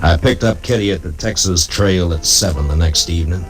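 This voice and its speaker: An actor playing a stoic, weary US Marshal who narrates his own Western radio story in a plainspoken, halting, reflective way. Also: deep voice, lightly acted. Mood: wistful, tender. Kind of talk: 1950s Western radio drama acting and narration. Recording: old radio drama recording with music behind.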